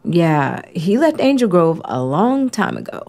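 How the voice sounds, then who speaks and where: A woman's voice: fairly low pitch, very animated, unhurried, creaky, a little mellow, heavily acted. A woman acting in an audio drama as a blunt, world-weary young resistance fighter with a wry, frank way of talking. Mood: matter-of-fact.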